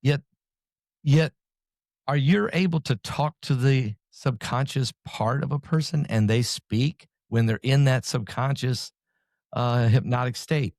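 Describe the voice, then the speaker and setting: A man hosting a podcast, asking questions in a rambling, quick-paced way. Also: mid-range voice, darkish tone, dry recording, studio quality. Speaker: podcast host. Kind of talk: casual interview questions.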